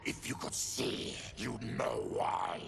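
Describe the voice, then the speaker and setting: An actor playing a bitter, sick former merchant in a video game, weary and halting, with a heavily rasping voice. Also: male voice, fairly bright tone, a little hiss or hum. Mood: grim, sorrowful. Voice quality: very gravelly, rasping. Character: bitter, disease-ravaged former merchant, resigned and grieving. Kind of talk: dramatic video game cutscene acting.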